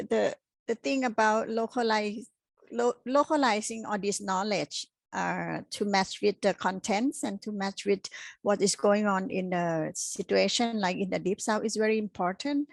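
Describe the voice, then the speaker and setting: A woman speaking unscripted on a panel, halting and rephrasing as she goes. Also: mid-range voice, vocal fry, no room sound. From Thailand. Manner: halting, repeats and rephrases words. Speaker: activist panelist.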